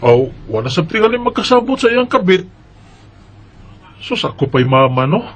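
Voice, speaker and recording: A resentful young man, played by a male actor, with a notably high-pitched voice and a Cebuano Filipino accent. He plays a bitter eldest brother in radio drama style. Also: big pitch swings, moderate pace, fairly bright tone. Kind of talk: Bisaya radio drama acting.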